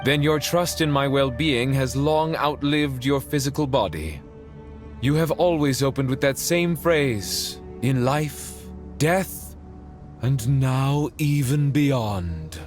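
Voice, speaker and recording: A man's voice: medium pitch, animated, slow, over music. An actor playing an ancient undying king in a game, wry, formal and calculating in manner. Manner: formal, smooth-tongued, dry. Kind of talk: scripted video game cutscene acting.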